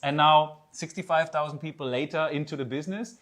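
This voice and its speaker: A man's voice: fairly high pitch, a little fast, fairly bright tone. A man with a German accent, a native German speaker, giving an earnest, persuasive sales presentation with slightly non-native phrasing.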